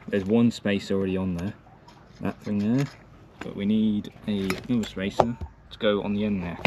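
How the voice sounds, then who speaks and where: A man's voice: fairly low pitch, noisy background. A young man giving plain, matter-of-fact, casual commentary as he works, like a vlogger. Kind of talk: casual narration while working on bikes. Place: car, sheds and yard while working.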